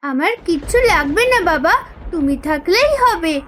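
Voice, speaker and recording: Woman acting very high, bright little village girl. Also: lively intonation, normal speed, slight background noise. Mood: reassuring. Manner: gentle, reassuring, pleading. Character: sweet, caring young daughter of poor villagers. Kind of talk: Bengali fairy-tale cartoon acting.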